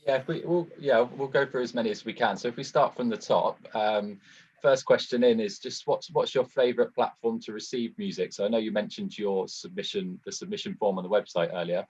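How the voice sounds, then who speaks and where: A man with a fairly low, slightly husky voice casually reads out questions as a moderator. The recording sounds a little muffled. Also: fairly quick. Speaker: moderator reading audience questions.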